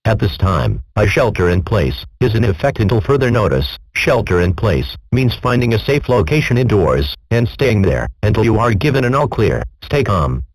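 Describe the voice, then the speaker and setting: A man reading a scripted emergency broadcast announcement in a formal, measured, impersonal manner. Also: on the low side, sing-song, dry recording, low-quality recording.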